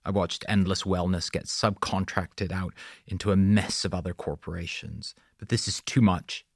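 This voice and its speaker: Man acting as audiobook villain boss, husky, low, menacing, sarcastic, curt. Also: fairly even intonation, moderate pace, no echo, studio quality. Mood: cold, threatening.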